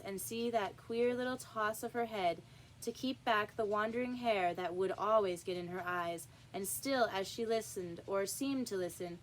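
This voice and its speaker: A woman reading a children's story aloud in an expressive narrating style. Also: mid-range voice, a little hiss or hum, poor audio. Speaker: storybook reader.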